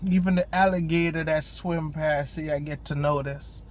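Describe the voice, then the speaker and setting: A lay preacher with a strong Jamaican accent gives an informal devotional talk from a parked car, pausing and restarting often and lifting the voice when reciting scripture. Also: mid-range voice, fairly even intonation, a little hiss or hum. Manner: halting, with frequent pauses and restarts.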